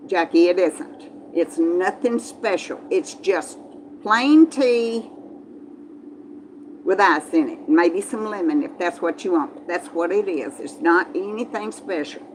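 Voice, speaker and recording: A woman's voice: mid-range voice, slight background noise, low-quality recording. A woman with a Southern Texas accent, chatting casually to live viewers in a folksy, meandering way and often repeating herself. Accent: Texas Southern American. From Texas, southern United States. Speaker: livestream host.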